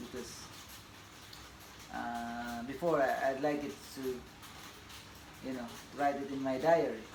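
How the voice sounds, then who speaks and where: A Filipino man in his forties, a tennis coach whose first language is Bisaya, introducing himself in a halting, casual way with many fillers and laughs. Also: mid-range voice. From Philippines. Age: 40s. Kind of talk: casual self-introduction to camera. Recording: echoey room, audible background noise.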